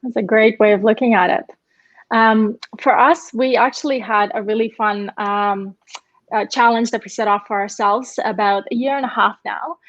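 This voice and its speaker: A woman's voice: on the high side, steady tone, normal speed, dry recording. Woman with a dry, muffled call sound. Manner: chatty with many fillers. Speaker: panel guest. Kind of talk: casual panel discussion.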